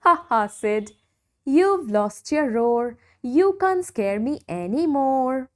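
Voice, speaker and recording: A woman as a high, sing-song indignant dinosaur. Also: sing-song, slow pace, a little mellow, heavily acted. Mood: mocking. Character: teased dinosaur friends, indignant then mocking. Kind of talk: children's storybook read-aloud.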